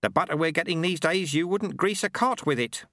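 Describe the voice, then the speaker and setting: A man voicing an ancient, calm and shrewd monk in a storybook reading, with a raised, lively voice. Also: a little high, fairly quick, dry recording, clean studio sound, pitched above the performer's own voice. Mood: calm polite. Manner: polite, calm.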